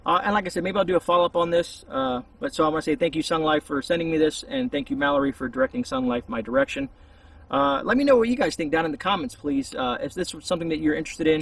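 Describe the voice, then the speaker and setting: Male outdoor vlogger, husky and slightly high, informal meandering review delivery, recorded a bit far from the mic. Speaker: YouTube reviewer. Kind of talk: casual, rambling product review. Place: outdoors among trees. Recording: voice distant from the mic.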